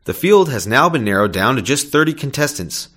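A man reads a local sports news item for a community radio station. His delivery is upbeat and announcer-like, at an everyday middle pitch. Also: very animated, a little fast, a bit bright. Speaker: news reporter. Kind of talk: scripted radio news read.

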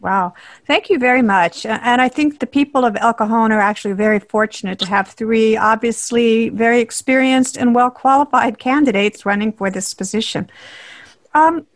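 A woman moderating a candidate forum, polite and brisk as she introduces speakers and asks questions. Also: a little high, dry recording.

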